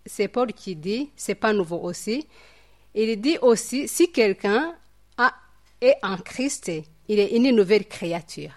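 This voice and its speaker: A woman from Rwanda teaching from the Bible at a study group. Her speech is halting and reflective, full of fillers and repeated words as she searches for the next phrase. Her voice sits at a middle pitch.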